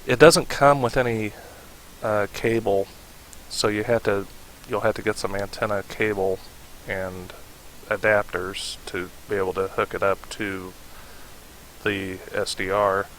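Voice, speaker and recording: A man narrating a casual how-to tutorial in a halting manner, with pauses to think. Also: on the low side, poor audio. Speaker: tutorial host. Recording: steady hiss behind the voice. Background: hiss.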